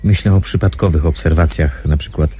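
An actor playing a wary, thoughtful undercover investigator in a radio drama, with a measured, questioning manner. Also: male voice, rumbling low, mellow, breathy edge. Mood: wary, probing. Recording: noisy recording with background ambience.

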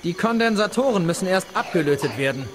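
An actor playing an earnest, bitter young-sounding resistance fighter in a video game. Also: male voice, high voice, expressive, fast, noisy background. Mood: apologetic. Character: male Korean-American resistance fighter, earnest, bitter, defensive.

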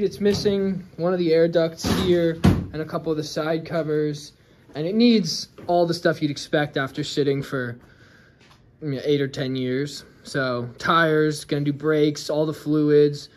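A man's voice: fairly high pitch, a little slow. A man in his twenties casually explaining a motorcycle repair to a handheld camera in his home garage. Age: 20s. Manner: relaxed, explains hands-on repair steps. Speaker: motorcycle restoration vlogger. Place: home garage and driveway, outdoors and indoors. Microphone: handheld camera at arm's length.

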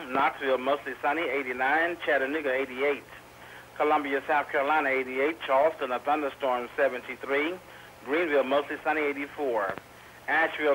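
A man's voice: medium pitch, a bit bright. Male weather radio reader, medium register, scripted forecast delivery, slightly noisy 1990s VHS-taped broadcast. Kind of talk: scripted weather bulletin reading. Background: faint radio noise.

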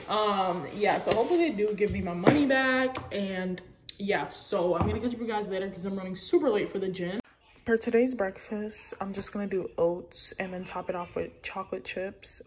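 A woman's voice: mid-range voice, a little hiss or hum. A young woman, a college student, chatting casually to her camera with lots of "like" and "um" fillers. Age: young adult. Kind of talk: casual vlog chat to camera.

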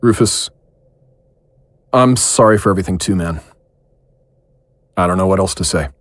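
A man's voice: deep voice, normal speed, dry recording, close to an everyday voice. A man playing an earnest, caring partner and friend in an audio drama, sincere and tender. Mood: regretful.

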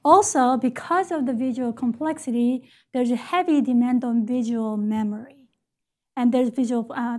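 High-voiced woman giving an academic lecture. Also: a little mellow. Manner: explanatory, frequent "right?" checks and self-corrections. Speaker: education professor. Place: lecture stage at a podium.

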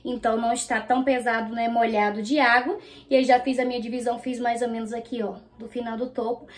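A woman in her thirties from Brazil talks in a high, chatty voice, often turning to her viewers as she works a product through her hair. She speaks casually and directly into a camera set up in front of her, in a small bedroom. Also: flat delivery, fast talker. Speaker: beauty vlogger.

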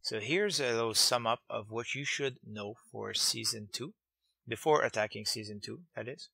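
A man's voice: mid-range voice, moderate pace, vocal fry, sharp tone, breathy edge, no room sound. A man hosting a game development tutorial series, narrating casually in a voice-over. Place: close, dry voice-over over screen capture.

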